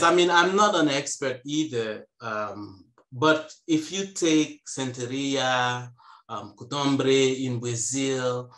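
A man in his thirties on a video call from home, speaking slowly and reflectively with frequent pauses. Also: mid-range voice, a bit bright, roomy sound. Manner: slow and reflective, hesitant fillers. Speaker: panelist. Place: home room. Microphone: earbuds on video call.

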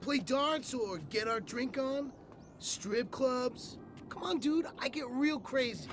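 An actor playing a spoiled, whiny young American slacker son, slangy and wannabe cool, in a video game cutscene. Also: male voice, very high-pitched, slow. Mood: wheedling, cocky. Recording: noisy vehicle ambience behind voice.

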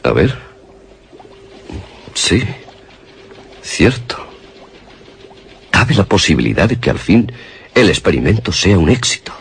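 Un actor con acento castellano interpreta en un radioteatro de suspenso a un científico padre de familia, implacable y atormentado, de tono teatral y autoritario. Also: male voice, low voice, big pitch swings, slow, gravelly, pauses often, slight background noise, heavily acted. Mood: cold, commanding. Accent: Castilian Spanish. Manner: theatrical, authoritative. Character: ruthless, tormented scientist father justifying monstrous experiments. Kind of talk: suspense radio drama acting. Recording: long reverberation, music underneath.

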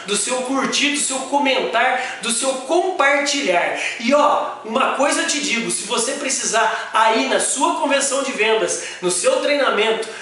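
A Brazilian man in his forties giving an animated, emphatic motivational sales talk to camera, stretching out key words. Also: very high voice, unhurried, hardly any pauses. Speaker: sales coach and motivational speaker. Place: small indoor office with bare wall. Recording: very echoey small room.

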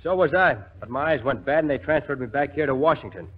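A man's voice: mid-range voice, expressive, quick pace, breathy edge. A man playing a cheeky, sleepy Marine sergeant in 1940s radio comedy. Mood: eager, pleading. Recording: dull, faintly noisy 1940s radio recording.

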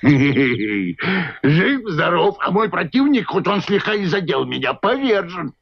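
High-pitched plucky cartoon boxer bear. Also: male voice, big pitch swings, slow pace, creaky, full character voice. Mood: proud, cheerful. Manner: emphatic, exclamatory. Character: plucky big boxer bear, sporty and stubborn. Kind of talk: animated film dub in Russian. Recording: noisy dull old film soundtrack.

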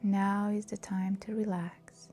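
A woman leading a scripted guided meditation in a soft, breathy, soothing and calm voice. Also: medium pitch, slow pace, faint music behind.

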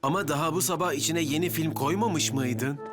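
An actor in a cartoon dub playing an earnest, eager and slightly bumbling young firefighter. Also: male voice, on the high side, a little fast, close to an everyday voice. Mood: puzzled. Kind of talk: animated cartoon dub acting. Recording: loud music behind the voice.

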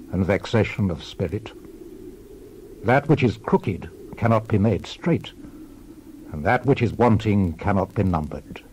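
An actor playing a world-weary, reflective old king and preacher in a dramatized scripture reading, solemn and measured. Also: lowish voice, warm tone, muffled recording, a little hiss or hum.

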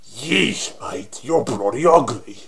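A man voicing a cocky, flirty undead punk rocker monster for a game, with a raspy British accent and cheeky taunting slang. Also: mid-range voice, lively intonation, takes it slowly, a bit bright. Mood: mocking. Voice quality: raspy, husky. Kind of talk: video game character voice demo.

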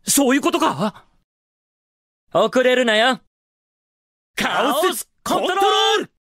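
Cocky young hero hedgehog, lively delivery. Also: male voice, very high-pitched, slow pace, dry recording, studio quality, full character voice. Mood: determined, then urgent, shouting. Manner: confident, casual, brash delivery. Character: cocky, upbeat, heroic young male hedgehog, cool and daring. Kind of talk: Japanese video game voice acting, short clips.

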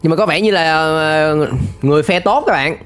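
A man's voice: fairly high pitch, normal speed, a bit bright. A young man hosting a reaction video, commenting casually and exclaiming at the cartoon he watches. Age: young adult. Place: indoor gaming room. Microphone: close microphone on a boom arm, headset worn. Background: cartoon soundtrack music.

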